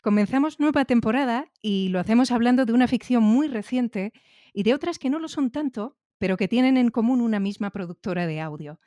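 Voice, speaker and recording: A woman's voice: on the high side, fairly quick, mellow, no room sound, studio quality. A woman hosting an interview podcast, presenting and putting questions to a guest.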